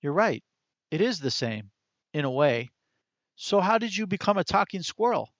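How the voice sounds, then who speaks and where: A man's voice: high-pitched, sing-song, moderate pace, fairly bright tone, dry recording, dull audio, pitched above the performer's own voice, exaggerated voice. A man voicing a gloomy, self-pitying grown man who speaks hesitantly and sulkily.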